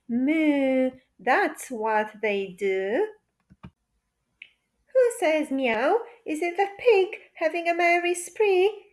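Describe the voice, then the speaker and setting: Woman, high voice, extremely slow pace, sing-song children's storytelling, playful rhyming read-aloud. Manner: playful, repetitive question-and-answer delivery for toddlers.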